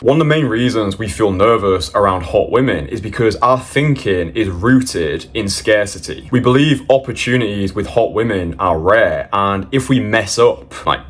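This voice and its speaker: A man's voice: fairly low pitch, normal speed, few pauses. A young British man talking as a dating coach, giving blunt, direct advice and calling the listener "bro". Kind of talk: scripted motivational voice-over.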